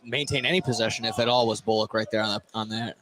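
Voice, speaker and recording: A man's voice: lowish voice, fairly even intonation, fast talker. Male basketball analyst over gym noise. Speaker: radio basketball color analyst. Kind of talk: live sports commentary. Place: courtside in a school gym. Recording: noisy live gym broadcast.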